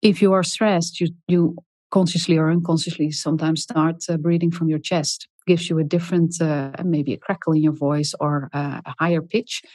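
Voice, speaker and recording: A middle-aged woman speaking in a calm, soft, deliberate way as a podcast guest, with many small pauses and ums. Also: low voice, dry recording. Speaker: guest expert on leadership. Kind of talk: podcast interview.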